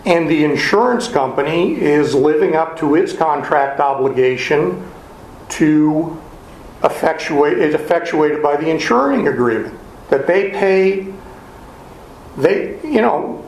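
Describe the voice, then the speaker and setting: A man's voice: on the high side, expressive, a little slow. A male attorney making an oral argument before an appeals court, pressing his points with rhetorical questions. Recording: roomy courtroom sound, faint noise.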